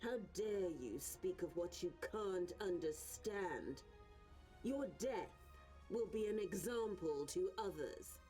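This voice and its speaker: A woman's voice: on the low side, lively intonation, takes it slowly, mellow, soft background music. A woman playing an imperious, scornful villain genius in a video game, haughty and theatrical, drawing out words for emphasis. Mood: menacing. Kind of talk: theatrical cutscene acting.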